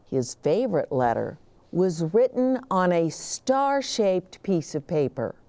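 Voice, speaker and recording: A woman hosting storytime, reading a children's picture book aloud expressively, with dramatic pauses and emphasis. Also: fairly low pitch, big pitch swings, mellow. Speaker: children's storytime reader and host.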